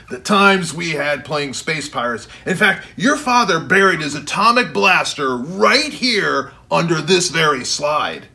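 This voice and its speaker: Guy doing a big goofy storybook imaginary pal, breezy and keen, bright voice. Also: fairly high pitch, big pitch swings, takes it slowly, creaky, few pauses, heavily acted. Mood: wistful, easygoing. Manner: breezy, enthusiastic.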